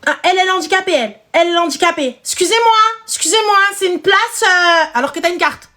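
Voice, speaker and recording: A woman's voice: extremely high pitch, fast talker, fairly bright tone. A single mother hosting a live stream, chatting casually and emphatically with slangy speech and repeated phrases.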